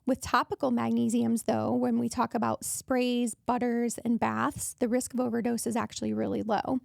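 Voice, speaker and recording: A woman hosting a wellness podcast, her voice hoarse and raspy from allergies, talking in a chatty way that wanders off on tangents. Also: fairly high pitch, level tone, normal speed, darkish tone, no room sound, clean studio sound. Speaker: podcast host.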